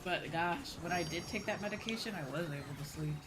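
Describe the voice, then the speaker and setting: A woman's voice: on the low side, fairly quick. A young woman chatting casually and playfully with viewers on a livestream as a game streamer. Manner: animated, playful, conversational. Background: music.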